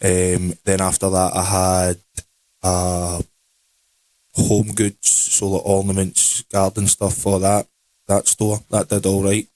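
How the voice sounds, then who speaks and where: A Scottish man in his late twenties talks in an easy, wandering way as a podcast co-host. His voice sits a little low. The sound is dry and close, with no room echo. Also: slow. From Scotland. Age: 20s. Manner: relaxed, rambling, with Scottish words like aye and wee. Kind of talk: casual chat.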